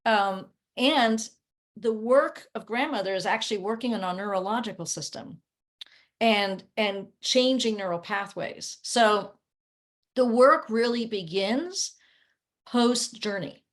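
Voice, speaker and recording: A woman's voice: on the high side, measured pace. A woman speaking conversationally as a guest coach on a video call, explaining things in an earnest, reflective way. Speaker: guest, coach working with clients. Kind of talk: conversational interview.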